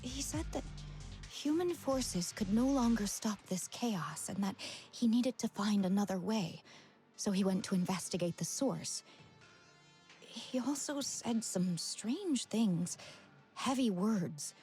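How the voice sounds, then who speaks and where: A woman playing a gentle, worried senior temple disciple in a video game story, speaking with solemn, measured earnestness. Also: medium pitch, expressive. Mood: solemn, hushed. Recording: game music behind the voice.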